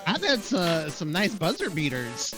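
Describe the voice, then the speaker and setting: Esports co-caster with a mid-pitched, widely swinging delivery, casual and chatty over clear music. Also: wildly swooping pitch, measured pace. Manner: casual, conversational. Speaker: esports co-commentator. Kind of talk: casual gaming commentary. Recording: game music behind the voice.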